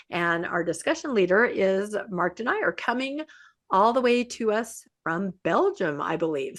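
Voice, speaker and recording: A woman's voice: on the high side, a bit bright. A woman hosting a book club meeting, making cheerful, chatty announcements on a video call. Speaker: host. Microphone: computer mic on video call.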